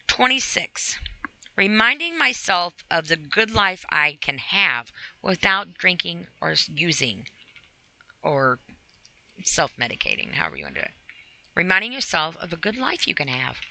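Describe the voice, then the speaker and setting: A podcast host with a very bright, sharp-edged voice at a medium pitch. The delivery is blunt and informal, moving between reading out a self-help list and commenting on it, with repeated phrases and direct questions to the listener. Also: very animated, normal speed, gravelly, low-quality recording. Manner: emphatic repetition of phrases.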